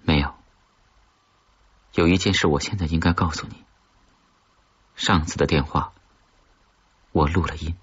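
A man voicing a cold, scheming blackmailer in an audiobook thriller, speaking low, distinctly and with deliberate menace. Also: fairly even intonation, a bit bright, slightly rough, heavily acted. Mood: calm menace. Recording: dull, muffled lo-fi audio.

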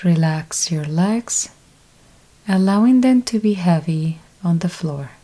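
A woman guides a deep-rest meditation, speaking very slowly with long pauses between short instructions. Her voice is low-pitched and mellow, with a relaxed, unhurried delivery throughout. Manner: slow, calm step-by-step instructions with long pauses. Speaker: yoga nidra teacher.

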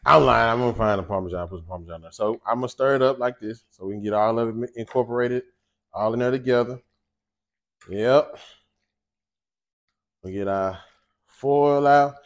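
A man's voice: mid-range voice, moderate pace, long pauses. Chatty man hosting a home cooking show. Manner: chatty, animated, talks straight to viewers. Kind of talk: casual cooking chat and monologue. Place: home kitchen, indoors.

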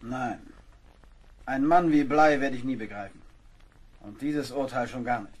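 An actor playing a principled, earnest ship's officer in an audio drama, formal and indignant. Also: male voice, mid-range voice, a little slow, slightly rough. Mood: defiant. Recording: noisy radio play scene.

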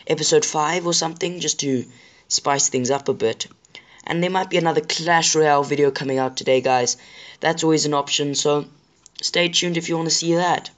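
A man with a sore throat and a slightly rough voice, chatting casually to viewers while he plays a survival game. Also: a little high. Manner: chatty, apologetic, addresses viewers directly. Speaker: gaming channel host. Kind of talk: casual gameplay commentary.

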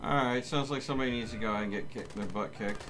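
A man's voice: lowish voice, quick pace, background noise. A man talking casually with his co-op group while playing a video game. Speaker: gamer in a co-op group. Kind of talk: casual gaming chat.

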